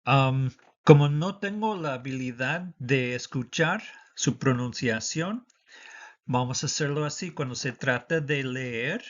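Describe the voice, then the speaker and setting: A man's voice: mid-range voice, measured pace, highs cut off. A man teaching a lesson for the citizenship test, dictating patiently and switching between Spanish and English.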